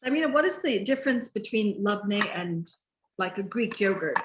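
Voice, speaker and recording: A woman hosts a charity's video call, speaking in a friendly, relaxed way with frequent fillers and curious questions. Her pitch is middling, and the recording comes from a roomy, echoing space with a faint noise underneath. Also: moderate pace. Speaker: host from the charity. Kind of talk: casual video call chat.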